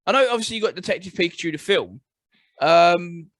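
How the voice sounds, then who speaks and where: A man's voice: high voice, fairly quick, a bit bright, no echo. A man with an English accent co-hosting a podcast, mostly agreeing and giving short responses. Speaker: podcast co-host. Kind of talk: casual chat.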